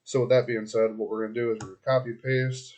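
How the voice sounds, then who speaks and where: A hoarse man giving a casual screen-recorded walkthrough as a web marketing consultant. He talks straight to his client, loose and chatty, thinking aloud with lots of ums and restarts. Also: medium pitch, flat delivery, fast talker, darkish tone.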